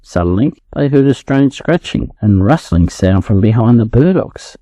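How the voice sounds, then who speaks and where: An Australian man narrates a children's story for language learners. His voice is soft and mellow, with animated intonation. The recording is dry and close. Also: mid-range voice, normal speed, no echo.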